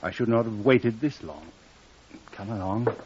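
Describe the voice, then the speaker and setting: A muffled old radio drama recording carries a suave, slippery gentleman doctor. He is husky and coaxing, with a polished, mock-polite manner that dodges every demand. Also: on the low side, expressive, measured pace. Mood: quietly menacing. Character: suave, evasive, debt-ridden male doctor and professor. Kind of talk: 1950s radio drama acting.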